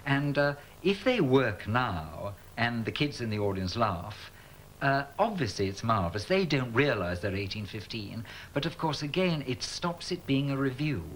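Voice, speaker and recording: A man's voice: medium pitch, big pitch swings, normal speed, a bit bright, a little hiss or hum, poor audio. A male pantomime performer talking enthusiastically and thoughtfully about the tradition in an interview.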